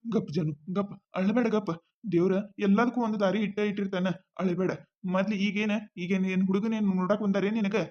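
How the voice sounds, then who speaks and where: A woman's voice: sits high, steady tone, quick pace, dull audio, natural voice. A woman with a North Karnataka Kannada accent acting as a young man reassuring his girlfriend. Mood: soothing. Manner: coaxing, reassuring. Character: young man boyfriend, devoted and insistent.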